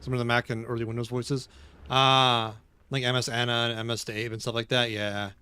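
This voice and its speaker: A man streaming a game, thinking aloud and chatting with viewers in a loose, unhurried way. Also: medium pitch, little pitch movement, sharp tone, clear, resonant tone, no echo. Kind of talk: casual live-stream gaming commentary.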